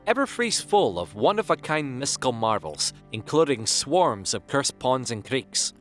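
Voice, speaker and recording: A man playing an excitable, curious young male pegasus in a scripted audio drama, with an eager, chatty manner. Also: medium pitch, measured pace, soft background music. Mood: showy, upbeat.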